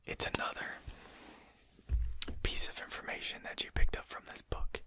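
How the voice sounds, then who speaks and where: A performer voicing a courteous but condescending vampire in a whispered ASMR roleplay, speaking formally and with measured care. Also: pushed and bright. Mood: bemused, admonishing. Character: courteous, condescending vampire manor lord, amused and civil.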